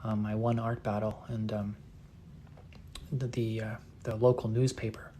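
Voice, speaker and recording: A soft-spoken man in his thirties talks casually as he paints, stopping and restarting often. His voice sits low and barely moves in pitch, and a faint hiss lies under it. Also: flat delivery, a little slow. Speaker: painter streaming a live session. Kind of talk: casual chat while painting.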